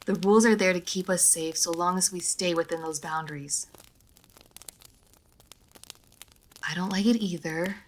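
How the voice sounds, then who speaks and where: Slow-spoken, prim vampire lady, acted by a woman. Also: on the low side. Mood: indignant. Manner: formal, old-fashioned, measured phrasing. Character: centuries-old female vampire, prim, proud, guarded yet playful. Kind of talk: roleplay audio drama addressed to the listener.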